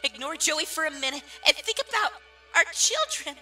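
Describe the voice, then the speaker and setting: An excitable little cartoon demon played by a woman, high and swooping in pitch. The delivery is drawn-out, exasperated and dramatic, over a music bed. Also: heavily acted. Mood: hurt, pleading. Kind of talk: comic dub acting.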